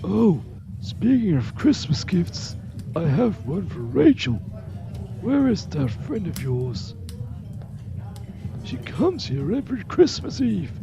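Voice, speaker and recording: A man's voice: sits high, very animated, slow, gravelly, pauses often, highs cut off, full character voice. A man playing a kindly, jolly old godfather in an audio drama, affectionate, teasing and theatrical. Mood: gently chiding. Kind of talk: scripted audio drama acting. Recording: music under the voice. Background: music.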